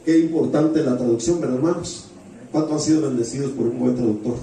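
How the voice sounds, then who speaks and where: A man's voice: medium pitch, brisk, gravelly, mellow. Male preacher in a very echoey hall. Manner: often addresses the congregation with rhetorical questions; repeats phrases for emphasis. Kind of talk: sermon with storytelling. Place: church hall with heavy echo. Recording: very echoey hall, distant mic, faint noise.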